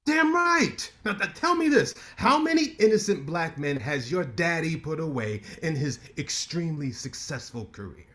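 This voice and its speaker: An actor playing a brash, ambitious lawyer who talks with cocky sarcasm in a staged play reading. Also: male voice, on the high side, big pitch swings, normal speed, hardly any pauses, fairly bright tone.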